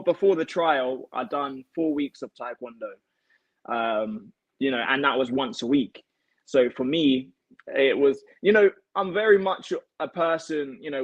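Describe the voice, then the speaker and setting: A young man with a British accent speaks casually as a guest on a video call. His voice sits at an average pitch for a man. The sound is dry, with very little room in it. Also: no echo, lo-fi sound. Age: young adult. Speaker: guest, elite martial arts athlete. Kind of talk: casual chat, telling own story. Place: home kitchen, indoors. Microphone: device mic on a video call.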